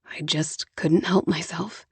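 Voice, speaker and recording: A woman voicing a tender, earnest young man in love, with a soft, low, hushed and coaxing delivery. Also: steady tone, takes it slowly, breathy edge, no room sound, exaggerated voice. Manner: gentle and coaxing.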